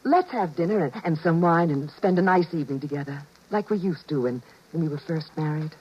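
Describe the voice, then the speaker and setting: A woman acting a sweet, affectionate wife in a 1950s radio drama, speaking softly and coaxingly. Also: low voice, expressive, brisk, breathy edge. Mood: tender. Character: sweet, knowing wife Marge, adult woman. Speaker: actress playing Marge. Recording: dull vintage 1950s radio broadcast.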